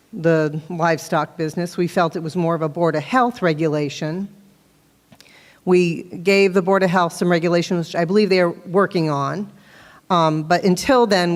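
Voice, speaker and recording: A woman explaining a town bylaw at a public meeting, speaking loudly and earnestly into a handheld microphone. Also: fairly low pitch. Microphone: handheld microphone, sometimes held off-axis. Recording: long reverb of a meeting hall.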